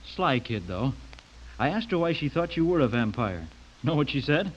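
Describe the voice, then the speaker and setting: A jovial, blunt middle-aged father in a radio play. His voice sits fairly high for a man and has a teasing, talkative manner. The recording is dull and lo-fi. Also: very animated, normal speed, a little hiss or hum. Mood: amused, blunt. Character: chatty, tactless middle-aged father, insurance salesman. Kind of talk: radio drama acting.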